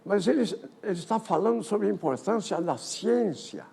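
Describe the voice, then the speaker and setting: An older man, giving a physics colloquium talk on history in a lecture hall, halting often with repeated words and false starts. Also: high-pitched, very animated, creaky, dark tone, husky. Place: lecture auditorium.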